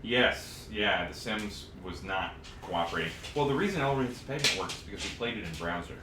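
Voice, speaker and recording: A man with a very deep voice talks casually as a podcast co-host about old games. He rambles and feels around for details. The room is echoey, with some background noise. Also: animated, fairly quick, bright, forceful tone. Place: indoor room, recorded live on stream. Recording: roomy echo, clear background noise.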